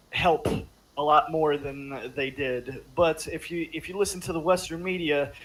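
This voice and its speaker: Halting, slightly high-voiced man on a call. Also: fairly bright tone, rough recording. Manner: halting, many restarts and fillers. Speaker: podcast panelist. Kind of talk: casual discussion.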